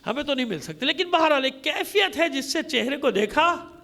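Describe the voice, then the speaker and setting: High-pitched, impassioned man preaching a sermon. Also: animated, brisk, gravelly, bright, forceful tone. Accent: Pakistani Urdu. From Pakistan. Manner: impassioned, dramatic rhetorical delivery with repeated phrases. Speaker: Shia cleric reciting a majlis. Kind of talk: mourning sermon with storytelling. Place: congregation hall.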